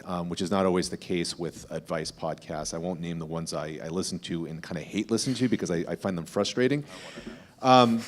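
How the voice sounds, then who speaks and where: A man who hosts a podcast, speaking conversationally on a panel in a measured, explanatory way that often rephrases as he goes. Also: low-pitched.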